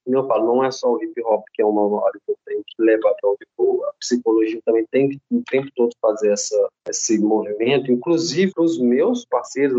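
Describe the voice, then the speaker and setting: A man who works as a psychologist, speaking earnestly and outspokenly as a guest on a podcast, with a husky voice. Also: mid-range voice, mellow, lo-fi sound. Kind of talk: casual podcast talk.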